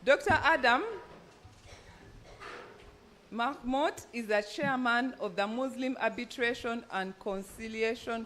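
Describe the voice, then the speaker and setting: Panel moderator: woman, Ugandan accent, higher-pitched voice, formal live introductions at a conference. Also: a bit bright, slight background noise. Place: event venue, speaking live to an audience.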